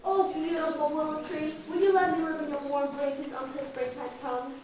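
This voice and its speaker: A woman's voice: sits high, fairly even intonation, moderate pace, darkish tone, close to an everyday voice. A woman playing a lonely little bird with a broken wing, pleading in a puppet show. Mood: hopeful pleading. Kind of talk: puppet show stage acting. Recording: echoey room, distant mic, faint noise.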